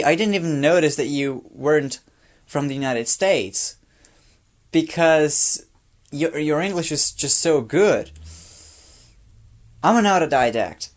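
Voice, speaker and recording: A sixteen-year-old boy from Brazil with a light Brazilian Portuguese accent, a native Portuguese speaker talking off the cuff to his phone camera, sounding tired and rambling with many restarts. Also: on the high side. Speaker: vlogger. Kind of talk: off-the-cuff personal talk to camera.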